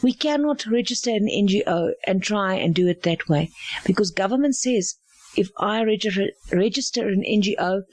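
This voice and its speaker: A middle-aged woman with a South African accent, Afrikaans her first language, speaking in an earnest, emphatic way and leaning on repeated phrases. Her voice sits at an ordinary middle pitch. The recording is dry and close, with almost no room sound. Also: darkish tone, no echo. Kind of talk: earnest spoken testimony.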